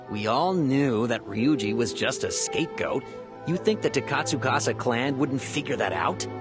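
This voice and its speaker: An actor playing a cynical, gossipy police officer in a video game, emphatic and sure of himself. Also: male voice, a little high, close to an everyday voice. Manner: emphatic, speculative.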